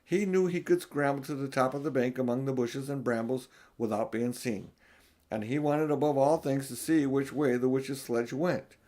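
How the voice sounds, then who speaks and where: An older man narrating a children's storybook as an audiobook reader, with expressive delivery. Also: medium pitch, normal speed.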